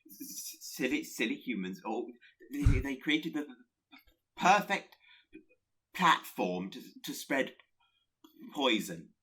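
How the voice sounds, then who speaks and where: A man playing a nervous, eager spirit of pestilence in a radio play, timid and halting, with a heavy stutter. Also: medium pitch, sing-song, sharp tone, breathy edge, full character voice, poor audio. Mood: gleeful. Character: nervous, eager male spirit of pestilence who delights in corruption.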